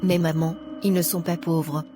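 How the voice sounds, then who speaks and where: A woman playing a sincere, polite young student in love in an animated drama. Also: fairly low pitch, normal speed, lightly acted. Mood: pleading. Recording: music behind the voice.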